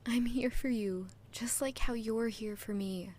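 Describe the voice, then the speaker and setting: Actress as a gentle, earnest young castle maid. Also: female voice, on the high side, fairly even intonation, a little slow, a little hiss or hum, close to an everyday voice. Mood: tender, comforting. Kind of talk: audio drama acting and first-person narration.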